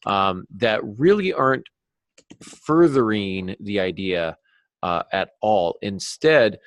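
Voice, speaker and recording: A man speaking as a guest novelist in a podcast discussion, explaining craft deliberately and with examples. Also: on the low side, animated, no echo.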